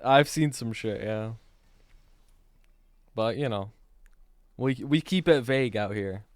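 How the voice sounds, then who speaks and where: Smooth-voiced, blunt young man chatting. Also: medium pitch, slow, dry recording. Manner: blunt, sweary, slangy. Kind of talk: casual chat.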